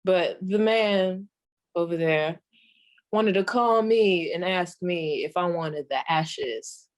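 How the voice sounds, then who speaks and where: Woman playing a slow, sassy old radio comedienne. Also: on the low side. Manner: folksy, deadpan, drawn-out delivery with deliberate pauses. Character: sassy old female stand-up comic of 1940s radio. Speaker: comedian performing a monologue. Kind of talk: stand-up storytelling in 1940s radio act.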